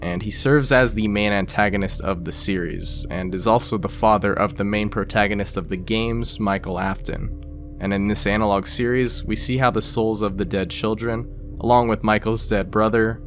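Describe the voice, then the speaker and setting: A man with a fairly low voice narrates a scripted video explainer. He talks the listener through it conversationally, slipping in casual asides. Soft music plays underneath. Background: background music.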